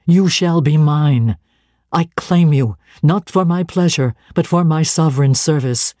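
A woman's voice: low voice, measured pace, dark tone, no room sound, studio quality. A woman voicing a stern, zealous young clergyman who speaks with solemn, commanding deliberation. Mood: insistent.

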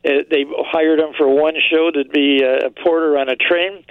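On a phone-like, dry line, an older American man reminisces as a radio guest. His voice is creaky and a little high, and he speaks in an unhurried, emphatic way. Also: fairly even intonation, dry recording. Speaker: radio guest, old-time radio historian. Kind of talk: conversational reminiscing.

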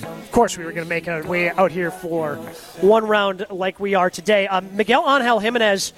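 Upbeat high-voiced male radio host. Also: over music. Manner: upbeat, quick, enthusiastic golf chatter. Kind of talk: live sports radio talk. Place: outdoors at a golf tournament.

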